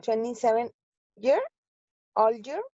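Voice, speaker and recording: Mexican woman in her 20s on a video call, spanish is her first language, slow careful broken english, dry dull lo-fi sound. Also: a little high, mellow, no room sound. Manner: halting beginner English, searching for words. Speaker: language exchange student. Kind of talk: casual video call chat.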